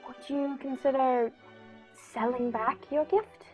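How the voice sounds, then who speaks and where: A shrewd gentleman detective acted by a woman in an old-style radio play. The voice is fairly high, courteous and measured, with a knowing self-assurance and faint music behind. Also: steady tone. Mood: politely insistent. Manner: polite, measured, formal, knowing. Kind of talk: 1940s radio play acting.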